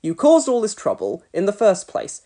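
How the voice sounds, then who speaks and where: A woman reading a storybook, voicing a stern, bossy male fire chief who gives brisk orders. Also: medium pitch, wildly swooping pitch, warm tone, pitched above the performer's own voice. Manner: brisk, commanding.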